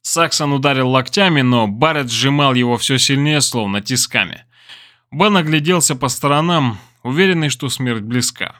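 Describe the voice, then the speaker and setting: A man's voice: mid-range voice, moderate pace, sharp tone. Male narrator of dramatic fiction. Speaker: audiobook narrator.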